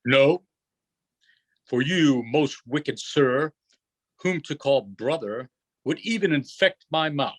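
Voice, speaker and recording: A man reading the part of a commanding, forgiving yet stern duke and magician in an unrehearsed Shakespeare play, in a measured, declamatory way. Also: medium pitch, lively intonation.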